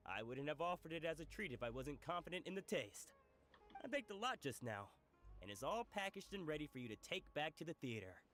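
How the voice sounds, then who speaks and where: A man's voice: a little high, very animated, brisk, fairly bright tone, faint music behind. An actor playing a jovial, generous male food merchant who chuckles and teases. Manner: chuckling, good-humored, teasing.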